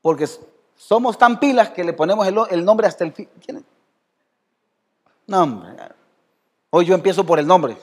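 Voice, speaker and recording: A man's voice: sits high, animated, moderate pace, long pauses, darkish tone, slightly rough. A man with a Salvadoran Spanish accent preaching a sermon as pastor in a church, talking conversationally and calling on members of the congregation by name. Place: church hall.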